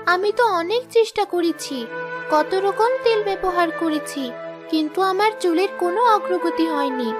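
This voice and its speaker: A woman's voice: extremely high pitch, a little slow, pitched above the performer's own voice. A woman voicing a plaintive, downtrodden young wife in a children's fairy-tale cartoon. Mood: hurt protest. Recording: music behind the voice.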